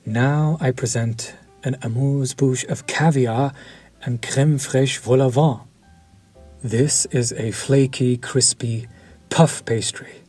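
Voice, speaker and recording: Vampire chef, male, courtly and menacing, voiced by a man; breathy mid-pitched delivery, unhurried. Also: fairly even intonation, soft background music. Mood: savoring. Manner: slow, formal and soft-spoken, dropping to near-whispers. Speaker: voice actor. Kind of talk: audio roleplay to the listener.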